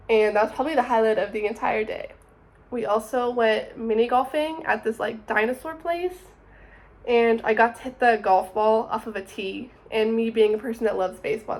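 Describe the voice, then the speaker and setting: A woman of twenty, narrating her summer in a chatty, upbeat vlog voice-over. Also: on the high side. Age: 20s. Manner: chatty, upbeat, says "super" often. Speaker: vlogger.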